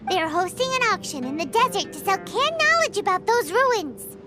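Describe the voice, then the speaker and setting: A small, childlike sidekick creature from a video game. The voice is squeaky, piercingly high and wildly animated, blunt and excitable, over prominent music. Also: female voice, normal speed. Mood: eager. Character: tiny, excitable childlike companion sprite.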